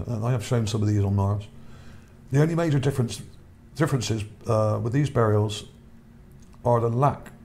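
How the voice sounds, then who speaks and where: Male host, low mellow voice going hoarse, rambling delivery, faint hiss behind. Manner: rambling, repeats words, checks in with "okay?". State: voice starting to go. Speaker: channel host. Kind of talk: casual narration over images, reading his article.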